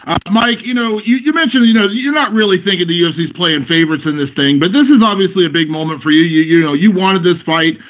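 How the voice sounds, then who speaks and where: Male journalist on a press call, high-set voice, thin telephone audio, conversational questioning. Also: quick pace, few pauses. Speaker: sports journalist. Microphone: phone. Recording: tinny narrowband phone line.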